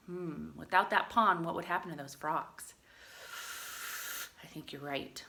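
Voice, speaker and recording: A woman reading a picture book aloud to young children in an expressive way, adding chatty explanations and questions for her listeners. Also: deep voice, unhurried, creaky. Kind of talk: animated read-aloud with chatty asides and questions.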